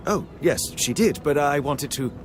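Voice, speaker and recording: An actor playing a proper, earnest gentleman in a mystery game, polite but easily flustered. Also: fairly high pitch, animated, normal speed, music in the background, lightly acted. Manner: earnest, polite, hesitant under questioning. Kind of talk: video game voice acting.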